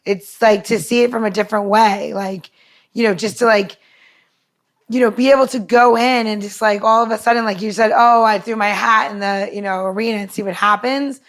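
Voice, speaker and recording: A woman's voice: medium pitch, fairly even intonation, brisk. A woman co-hosting a podcast in casual chat, talking in an informal, meandering and enthusiastic way. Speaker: podcast co-host.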